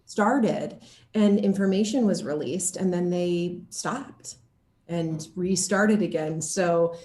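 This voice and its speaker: A woman giving a casual interview as an actor, talking in an animated, playful way. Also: on the low side, slow, reverberant. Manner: animated, playful, acts out lines as she talks.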